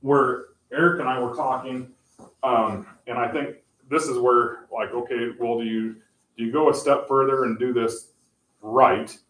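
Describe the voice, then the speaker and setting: A man explaining tech options to a city council, halting and full of fillers and restarts. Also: mid-range voice, steady tone, slight background noise. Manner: says he has a loud voice. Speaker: tech presenter at council meeting. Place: council meeting room. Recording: echoey room, far from mic.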